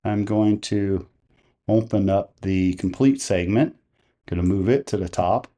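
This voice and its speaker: Mellow man giving step-by-step instructions. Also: lowish voice, steady tone, unhurried. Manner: methodical, plain-spoken instruction. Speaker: tutorial presenter. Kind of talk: step-by-step software tutorial narration.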